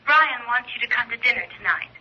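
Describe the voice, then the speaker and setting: An actress playing a frightened fiancée speaking through a telephone filter in an old-time radio drama. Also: female voice, on the high side, lively intonation, fast talker, slightly rough. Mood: nervous, confiding. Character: Carol, frightened fiancée phoning a friend. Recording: thin, dull phone line on vintage radio.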